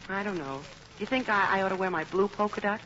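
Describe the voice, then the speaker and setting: A woman's voice: mid-range voice, fast talker, a bit bright, close to an everyday voice. A woman playing a sweet, self-doubting young working woman, earnest and hesitant, in old radio comedy style. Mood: unsure, polite. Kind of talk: 1940s-50s radio comedy acting. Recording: muffled, noisy old radio recording.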